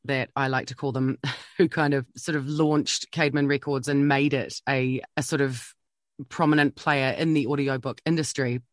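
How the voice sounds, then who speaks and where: A man's voice: mid-range voice, flat delivery, normal speed, no room sound, studio quality. A man hosting a casual interview, easygoing and affirming. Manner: easygoing, affirming, loose filler words. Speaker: podcast host.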